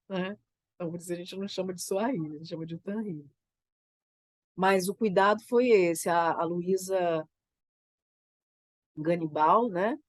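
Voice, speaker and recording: A woman with a Brazilian Portuguese accent, a theater creator getting over a cold, talking about her work in a reflective, halting way and often tagging her sentences with "né?". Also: fairly low pitch, no room sound. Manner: thoughtful, halting, frequent "né?" tags. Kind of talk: reflective conversation.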